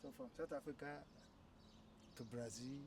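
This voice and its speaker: Halting man in his thirties. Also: medium pitch, a little mellow. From Nigeria. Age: 30s. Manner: halting, repeats words while telling his story. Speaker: interview guest. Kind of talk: casual conversation. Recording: distant mic with clear background noise.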